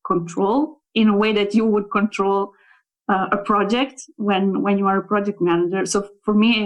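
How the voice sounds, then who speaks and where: A woman's voice: medium pitch, rough recording. A young Italian woman, a native Italian speaker, talking about her work as a localization project manager in a casual interview, thoughtful and full of fillers and restarts. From Italy. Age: young adult.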